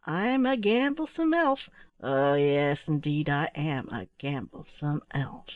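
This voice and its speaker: A gleeful little elf boy from a children's storybook, acted by a woman. The delivery is mischievous and sing-song, stretching out words with swooping pitch. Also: animated, a little slow, no echo, phone-quality sound, close to an everyday voice. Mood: whispered glee.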